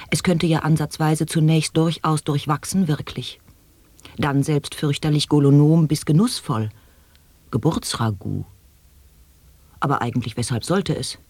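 Slow, husky reader of wordplay. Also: medium pitch, darkish tone, dry recording. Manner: halting, word-by-word recitation of wordplay. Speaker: radio play performer. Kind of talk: experimental sound poetry monologue. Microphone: studio microphone.

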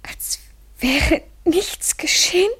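A woman's voice: very high-pitched, takes it slowly, fairly bright tone, heavily acted. An actress playing a fragile, anxious woman in love in a literary German radio play, hesitant and intimate, often near a whisper. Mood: wounded.

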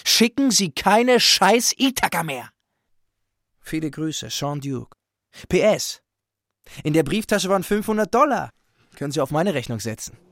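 A brash young troublemaker in an audio drama voice-over. His voice is high for a man and dry, close and free of room, with a cocky swagger. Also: very animated, a little slow, pauses often, fairly bright tone, no room sound, full character voice. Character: cocky, foul-mouthed young tough guy reading out his note.

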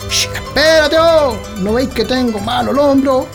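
A man's voice: very high-pitched, unhurried, a bit bright, faint music behind, full character voice. An actor playing a stubborn, boastful countryman in a radio drama, with a rustic, dismissive manner and a rural Chilean accent. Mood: irritated. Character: stubborn, boastful countryman, a carousing husband and father.

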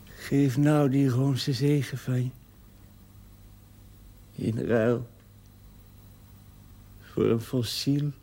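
A gravely ill man, still under forty, in a television drama. He speaks very slowly in short, halting phrases. The voice is husky and mellow, tender and resigned to his end. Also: medium pitch, steady tone.

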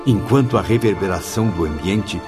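A man's voice: sits low, brisk, music in the background, natural voice. An actor playing an awed patient recounting his journey in a Brazilian radio drama, literary and dramatic. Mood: reverent.

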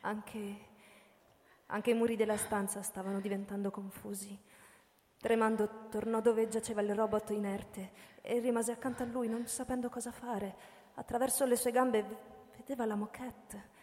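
A woman's voice: fairly high pitch, little pitch movement, moderate pace, a little mellow. A woman giving a dramatic literary narration as the narrator of a radio play. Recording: reverberant hall sound.

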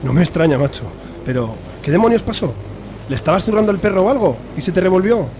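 A man playing a loyal, crude friend who talks in quick slang. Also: high voice, expressive, noisy background.

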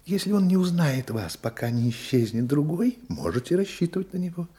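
An actor in a radio play playing a smooth, secretive, devout conspirator at court, speaking theatrically with solemn oaths and hushed urging. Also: male voice, a little high, big pitch swings, brisk. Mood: conspiratorial.

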